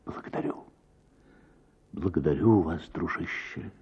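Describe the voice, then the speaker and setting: An actor playing a self-pitying middle-aged idler and sponger in a radio story, plaintive and melodramatic, full of wounded protest. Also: male voice, low voice, a little slow, darkish tone, slightly rough, muffled recording, exaggerated voice. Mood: breathy grateful.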